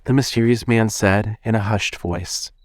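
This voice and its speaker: A man reading scripted horror fiction as narrator, in a measured storytelling manner. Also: fairly low pitch, fairly even intonation.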